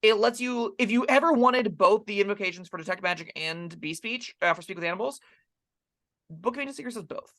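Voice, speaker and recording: A man's voice: sits high, a little fast, pushed and bright. A man co-hosting a casual, opinionated discussion of tabletop game rules, explaining his points emphatically.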